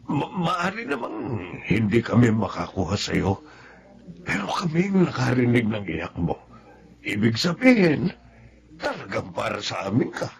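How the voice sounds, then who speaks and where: A man acting in a Tagalog radio drama as a devoted, sentimental father, speaking earnestly and from the heart in a husky, gravelly voice. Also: mid-range voice, wildly swooping pitch, a little slow, pauses often, exaggerated voice. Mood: nostalgic, tender.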